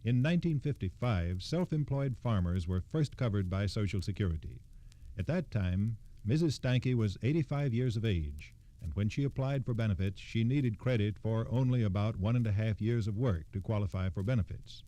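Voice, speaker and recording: Guy explaining stuff formally on old sixties radio, low soft-edged voice, no room sound. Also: animated, normal speed, dry recording. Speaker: radio storyteller. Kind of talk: scripted 1960s radio narration.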